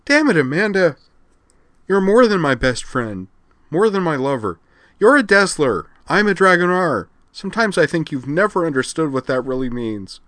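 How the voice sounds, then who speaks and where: Fiery, devoted young woman played by a man, high-pitched and vehement, emotional audiobook character, dry close sound. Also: very animated, normal speed, a bit bright, no room sound. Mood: upset, insistent. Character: fiery, distraught young woman, devoted and fiercely loyal friend.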